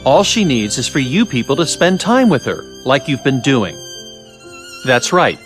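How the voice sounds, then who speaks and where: A man playing a curious, evasive doctor in a video game, musing and teasing with theatrical pauses. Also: mid-range voice, very animated. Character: wry, curious, evasive male scientist doctor. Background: faint music.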